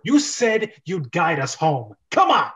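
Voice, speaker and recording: A man voicing an excitable, impatient adventurer with loud, urgent exclamations in an amateur script reading. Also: a little high, slow, exaggerated voice.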